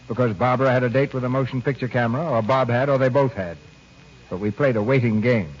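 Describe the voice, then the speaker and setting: A man hosting a classic radio drama, announcing and narrating from a script in a polished, measured way. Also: medium pitch, a little hiss or hum. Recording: dull old radio broadcast. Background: music.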